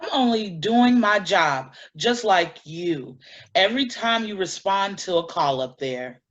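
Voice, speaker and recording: An actress playing a defiant, principled animal-rights activist in a staged play reading, blunt and combative. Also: female voice, mid-range voice, expressive, a little slow, a bit bright. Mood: firm, defensive. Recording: dull lo-fi sound.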